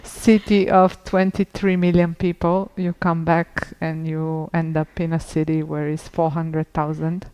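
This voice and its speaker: Woman, low smooth voice. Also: flat delivery, darkish tone, low-quality recording. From Bulgaria. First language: Bulgarian. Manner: unhurried, with frequent pauses and restarts. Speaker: podcast guest. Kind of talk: casual interview conversation.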